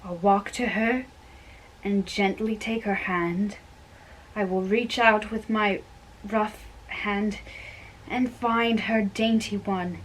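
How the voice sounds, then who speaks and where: A woman playing a lovestruck, earnest young man in an amateur dramatic reading of Shakespeare, delivered in a halting, deliberate way. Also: medium pitch, fairly even intonation, slight background noise, natural voice, poor audio. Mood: dreamy, smitten.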